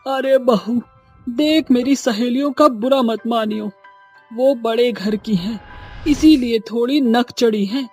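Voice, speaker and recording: A woman's voice: a little high, slow, a little mellow, husky, faint music behind. A woman playing a scheming, placating middle-aged mother-in-law with a coaxing, dramatic delivery in a cartoon story.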